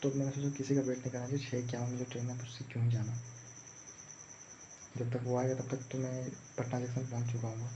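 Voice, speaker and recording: A young man telling a story casually, in a rambling, repetitive way. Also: mid-range voice. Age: young adult. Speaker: vlogger recounting own exam day. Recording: muffled lo-fi audio, faint background noise.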